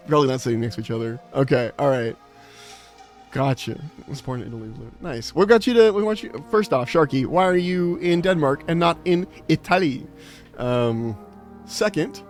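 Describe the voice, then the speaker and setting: Chatty man streaming. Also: a little high. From Inland Empire, California, USA. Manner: chatty, laughs often, reads chat aloud. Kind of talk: casual live-stream chat.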